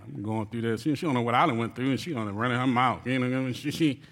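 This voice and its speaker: A man preaching a sermon, telling a comic story with shouted cries and playful asides to the congregation. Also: mid-range voice, fast. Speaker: pastor. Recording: long reverb of a large hall.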